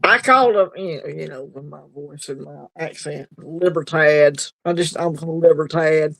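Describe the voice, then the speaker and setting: Easygoing male stream host, slightly high voice, gravelly edge, rambling talk. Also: normal speed, a bit bright, dry recording, poor audio. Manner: rambling, easygoing, laughs easily. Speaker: livestream host. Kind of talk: casual chat.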